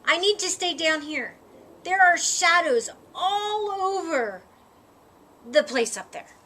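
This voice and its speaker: A woman's voice: very high-pitched, animated, slow, pushed and bright, voice pushed up, heavily acted. A woman voicing a timid, shadow-shy groundhog in a children's storybook, hesitant and plaintive. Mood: nervous.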